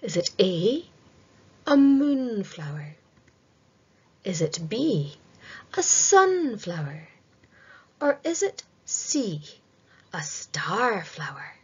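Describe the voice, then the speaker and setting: Middle-aged female quiz reader for children: very slow, sing-song delivery, lively pitch, slightly muffled webcam sound. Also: slightly rough. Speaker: quiz presenter reading aloud. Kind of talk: read-aloud multiple-choice quiz for children. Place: small room indoors.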